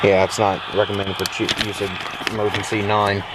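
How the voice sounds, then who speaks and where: An American man casually talking through a demonstration in an unhurried, rambling way, recorded on a handheld camera inside a pickup truck. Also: fairly low pitch, completely flat delivery. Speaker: vlogger demonstrating an old CB radio. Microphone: handheld camera, speaker off screen. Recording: very noisy, radio static and vehicle ambience.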